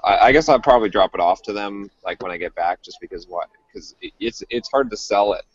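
Guy on a phone call podcast, stop-start talker, bit low, dry muffled sound. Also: husky, no echo. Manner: halting, repeats himself. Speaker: podcast co-host. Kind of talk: casual panel chat. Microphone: earphones on a phone video call.

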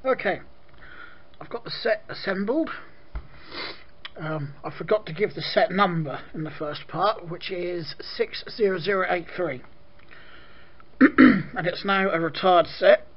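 A slow-talking British man with a fairly high, croaky voice, chatting his way through a toy review, pausing for ums and throat clearing. The sound is dull and lo-fi, caught by a camera mic in an indoor room. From Britain. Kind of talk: casual product review.

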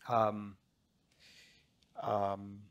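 A man in his fifties, an author on a stage panel, speaking haltingly with many restarts as he searches for words. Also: lowish voice, flat delivery, clean tone, no room sound, muffled recording. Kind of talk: reflective panel talk. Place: large auditorium stage.

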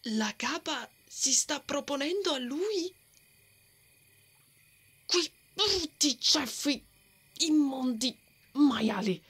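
A woman voicing a brave, hot-tempered female knight with a secret soft heart, in an ASMR roleplay, blustering and easily flustered. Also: high-pitched, lively intonation, very slow, long pauses, heavily acted. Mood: angry, defiant. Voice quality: breathy, often dropping to a whisper. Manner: tsundere, blustering, flustered stammering.

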